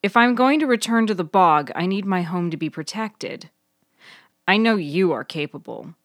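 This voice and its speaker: A woman's voice: on the low side, expressive, normal speed, no room sound, clean studio sound, voice pushed up, close to an everyday voice. A woman playing a curt, domineering, easily agitated woman in a story, speaking bluntly. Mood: earnest. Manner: blunt, uncensored.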